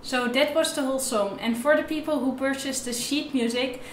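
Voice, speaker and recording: A woman's voice: sits high, moderate pace, recorded from a distance, faint music behind. Young woman teaching, echoey room. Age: young adult. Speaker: violin teacher. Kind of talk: instructional tutorial talk, explaining to camera. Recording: roomy, echoey indoor sound.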